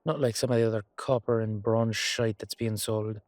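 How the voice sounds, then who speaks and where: A man's voice: on the low side, fairly even intonation, moderate pace, a little mellow, no room sound, clean studio sound, natural voice. A man acting a humble, weary knight with a wry, casual, hesitant manner in an amateur fantasy audio roleplay. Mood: casual, bluffing.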